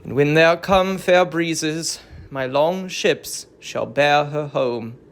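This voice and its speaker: A performer voicing a vengeful, tormented Greek king, declaiming halting archaic verse in a dramatic reading of a tragedy. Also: mid-range voice. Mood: commanding.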